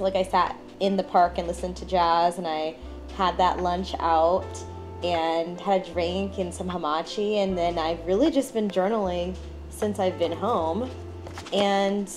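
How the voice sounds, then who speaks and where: A woman's voice: mid-range voice, darkish tone. A woman in her late twenties talking to the camera at home in a casual, reflective vlog, with many "like" fillers. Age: 20s. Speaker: vlogger. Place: at home indoors.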